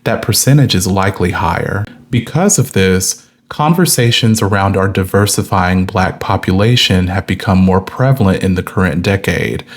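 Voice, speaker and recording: An American man giving a scripted explanatory commentary in a measured, deliberate, methodical way. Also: low voice. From United States.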